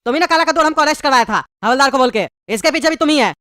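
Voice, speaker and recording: A high-voiced performer playing a querulous, haggling pumpkin-man prisoner in a comedy cartoon, with Bihari-style Hindi. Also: animated, racing, pushed and bright. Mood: grumbling. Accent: eastern Indian (Bihari-style) Hindi. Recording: clearly noisy background.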